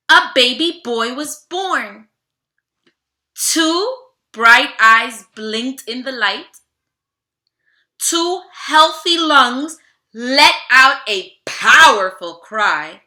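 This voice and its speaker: Bright, high-voiced woman reading aloud to children. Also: extremely slow. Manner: expressive, theatrical, addresses listeners directly. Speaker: teacher reading aloud to students. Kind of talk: children's read-aloud with animated greeting. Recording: roomy, echoing room sound.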